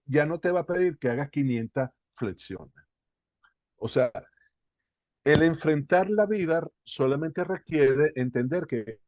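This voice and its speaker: A man from Spain speaks at an ordinary pitch, earnest and reflective, leaning on key words as he explains. The sound is dull and lo-fi, dry with no room around it. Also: a little mellow, dry recording. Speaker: guest researcher and therapist. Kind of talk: explanatory interview talk.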